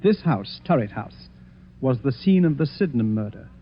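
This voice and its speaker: An actor playing an imperious, self-assured, egotistical master detective in a 1940s radio mystery, with portentous delivery. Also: male voice, mid-range voice, animated, normal speed, natural voice. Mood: grave, deliberate. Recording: muffled, hissy vintage 1940s radio recording.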